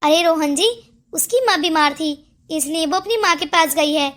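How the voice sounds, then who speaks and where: A woman's voice: extremely high pitch, normal speed, a bit bright. A woman voicing a selfish, scheming young daughter-in-law in a Hindi cartoon story, with a glib, dismissive way of talking. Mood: glib, reassuring.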